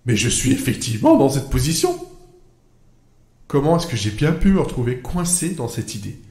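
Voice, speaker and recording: A man's voice: medium pitch, very animated, moderate pace, warm tone, slightly rough, dry recording. A man playing a bewildered, sarcastic storyteller in a comic audio fiction, rambling in the first person, interrupting himself and addressing the listener. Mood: alarmed, indignant. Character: bewildered, sarcastic male storyteller stranded inside his own wild ideas. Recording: music bed behind the voice. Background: music.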